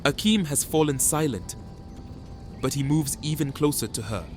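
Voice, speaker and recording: A man playing a troubled hidden observer who narrates a fantasy drama in hushed, halting phrases. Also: a little high, natural voice. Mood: tense watching. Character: watchful hidden observer, troubled chosen one, resolute. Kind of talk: first-person dramatic narration. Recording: music behind the voice.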